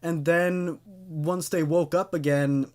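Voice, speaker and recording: Man, slightly high voice, horror story reviewer, rambling commentary, hesitant, repeats words, reading aloud. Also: fairly even intonation, slow, darkish tone.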